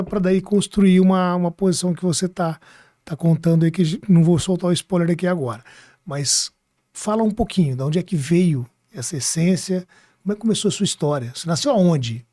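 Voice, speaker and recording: A man's voice: a little high, a little fast, warm tone, dry recording, clean studio sound. A man from hosting a podcast, chatting casually, rephrasing his questions and often seeking agreement. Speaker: podcast host. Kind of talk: casual conversational interviewing.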